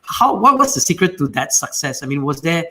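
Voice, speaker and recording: A man's voice: fairly high pitch, big pitch swings, fairly quick, bright, forceful tone. A man hosting an interview, asking long, rambling questions full of fillers. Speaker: podcast host.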